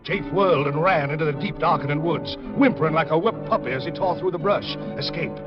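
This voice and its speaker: A man's voice: fairly high pitch, brisk, loud music behind the voice, close to an everyday voice. A man with an American accent giving intense, theatrical scripted narration in a 1940s radio drama style. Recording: dull old 1940s radio recording.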